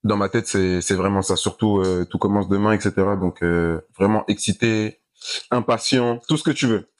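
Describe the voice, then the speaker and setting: A laid-back young man from France chatting as an interview guest in a low voice. He speaks in loose, rambling sentences, restarting and repeating himself with casual slang. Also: normal speed. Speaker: American football player, guest. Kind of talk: casual interview chat while playing a video game.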